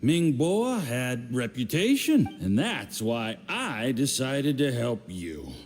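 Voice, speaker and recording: A man's voice: mid-range voice, very animated, slow pace, soft background music. An actor playing a drunken, scheming, boastful agent in a video game, rambling and slurring his words. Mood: tipsy, flattering. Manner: slurred, rambling tipsy speech with sighs and trailing words.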